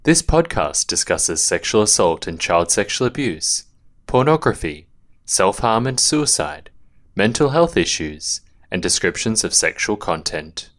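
A man reading a measured content advisory and introduction as a voice-over. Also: on the low side, very animated. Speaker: announcer. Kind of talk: slow, measured voice-over announcement.

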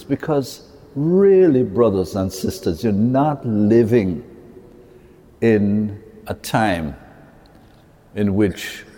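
A priest from Jamaica, giving an earnest, halting talk to a church audience, with pauses and repeated words. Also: male voice, lowish voice, dark tone. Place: large cathedral. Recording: long hall reverb in a cathedral.

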